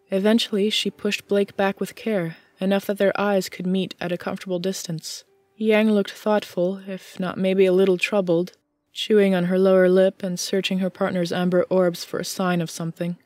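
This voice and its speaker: A woman's voice: mid-range voice, a little mellow, dry recording. A woman reading scripted fiction as the narrator of an audiobook.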